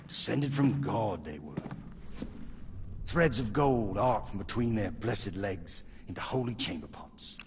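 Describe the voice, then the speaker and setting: Low, echoing, sinister henchman. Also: lively intonation. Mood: grandiose, mocking. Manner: theatrical, slow-savouring, menacing. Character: sinister, mocking, ambitious revolutionary henchman, grandiose storyteller. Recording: cavernous reverb, dull, noisy game audio.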